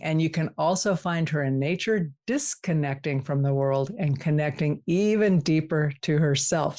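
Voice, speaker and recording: A woman's voice: sits low, a little mellow. Upbeat woman podcast host. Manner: upbeat, enthusiastic. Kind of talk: reading a guest introduction, then interviewing.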